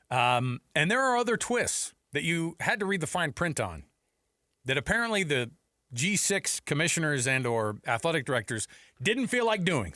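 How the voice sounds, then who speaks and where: A man's voice: a little high, bright, forceful tone, no echo, studio quality. A man hosting a sports talk radio show, bantering casually in an informal, playful way. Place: radio studio. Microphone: close studio mic.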